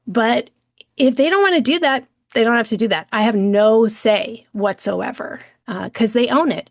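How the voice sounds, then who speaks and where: A woman's voice: medium pitch, creaky, dry recording, studio quality. A woman hosting a podcast, talking in a chatty, enthusiastic way with plenty of fillers. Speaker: podcast host. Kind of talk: casual podcast chat.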